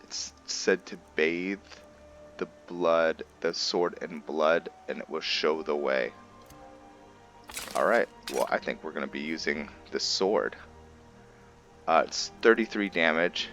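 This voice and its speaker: Very deep, bright-voiced male gaming host, casual explanatory commentary over music. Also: slow, lo-fi sound. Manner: musing, explanatory. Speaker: host of a gaming roleplay series. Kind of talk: casual let's play commentary.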